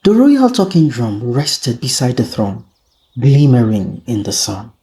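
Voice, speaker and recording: A storyteller narrating a folktale in a dramatic, deliberate way with frequent pauses. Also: mid-range voice, sing-song, warm tone, slight background noise.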